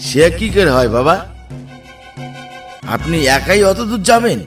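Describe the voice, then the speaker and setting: A man's voice: on the high side, sing-song, measured pace, fairly bright tone. A man voicing an anxious village headman in a cartoon. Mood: worried. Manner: anxious, pleading. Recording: distant voice with faint music.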